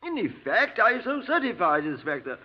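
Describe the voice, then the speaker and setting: A man's voice: high-pitched, big pitch swings, normal speed. A man playing a stiff, defensive physician in a 1950s radio drama, formal and indignant, stammering over his words. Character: stiff, defensive male physician, easily affronted. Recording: dull 1950s radio recording.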